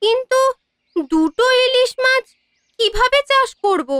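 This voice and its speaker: A woman's voice: very high-pitched, lively intonation, a little slow, bright, forceful tone, no room sound, studio quality, full character voice. A woman playing a questioning, supportive village wife in a cartoon fairy tale, exclaiming as she talks. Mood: puzzled. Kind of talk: cartoon storybook acting.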